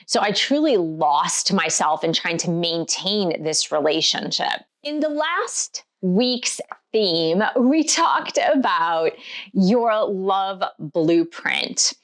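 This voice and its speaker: A middle-aged woman with a slightly hoarse voice, hosting her own author vlog and speaking candidly and reflectively about her past relationships. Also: fairly low pitch, animated, slow, a bit bright. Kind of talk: personal vlog talk to camera.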